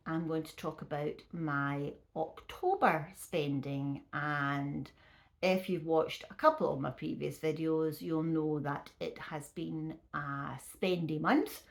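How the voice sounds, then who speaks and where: A woman, chatting casually to camera as a vlogger, her voice a little croaky and her talk full of restarts and ums. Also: sits low. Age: 50s. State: slightly croaky voice.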